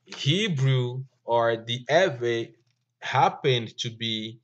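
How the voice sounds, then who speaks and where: A man whose first language is Ewe, giving an informal language lesson in a slow, halting way with many fillers and restarts. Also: medium pitch, animated. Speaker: teacher. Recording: dull lo-fi recording.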